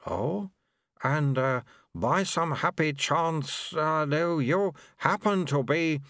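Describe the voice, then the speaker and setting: A man's voice: a little high, slow, a bit bright, dry recording, studio quality, pitched above the performer's own voice. A man voicing a flustered senior male monk who stammers and dithers. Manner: stammering, dithering, full of uhs.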